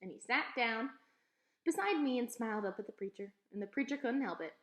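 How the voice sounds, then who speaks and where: A woman reading a children's book aloud as an expressive storyteller. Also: on the high side, a little fast. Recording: echoey room.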